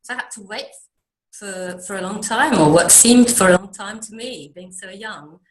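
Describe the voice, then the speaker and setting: A middle-aged woman from Denmark talks in a video interview at a middle pitch. Her speech is soft and thoughtful, and her sentences often fade away quietly. The room around her is noticeably echoey. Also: normal speed. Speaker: guest, creative mentor.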